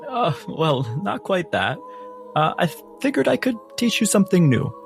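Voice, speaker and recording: Man playing a gentle old priest, creaky voice. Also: sits high, very animated, normal speed, soft background music, voice pushed up, exaggerated voice. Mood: gently encouraging. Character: kindly old priest and mentor, patient, gently teasing.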